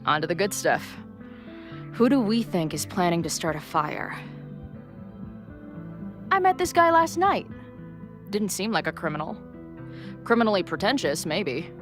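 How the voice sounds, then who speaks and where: A woman's voice: fairly low pitch, big pitch swings, measured pace, pauses often, over music. An actress playing a weary, wry young woman resigned to death, plain-spoken and sardonic, in naturalistic video game dialogue. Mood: wry, determined.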